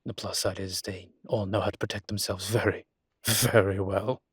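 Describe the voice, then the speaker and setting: Male actor as incubus demon, husky, fairly even intonation, wry, proud, articulate, intimate audio roleplay, dry sound. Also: fairly low pitch, no echo. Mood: indignant, protective. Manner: wry, articulate, unhurried emphatic phrasing. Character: suave adult male incubus demon, proud, wry, protective of his kind. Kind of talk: roleplay audio drama, intimate conversational monologue.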